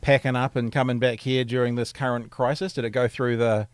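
A man's voice: medium pitch, little pitch movement, a little fast, smooth. A man hosting an interview, asking loose conversational questions with frequent restarts. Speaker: interview host. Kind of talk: casual interview.

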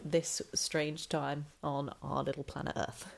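A woman's voice: deep voice, flat delivery, slow pace, creaky. A young woman hosting a casual solo podcast, chatty and rambling with lots of ums, sounding slightly nasal and snuffly. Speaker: podcast host.

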